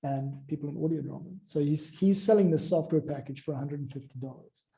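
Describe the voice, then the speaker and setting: A man's voice: a little high, brisk, gravelly, mellow. A man talking informally about audio production, explaining things in a rambling way as he thinks aloud. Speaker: audio drama music creator.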